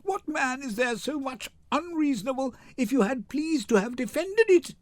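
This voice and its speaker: A man voicing a poised, witty noblewoman wife in measured, theatrical Shakespearean verse, teasing and quick to indignation. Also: very high-pitched, lively intonation, no room sound, voice pushed up. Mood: indignant.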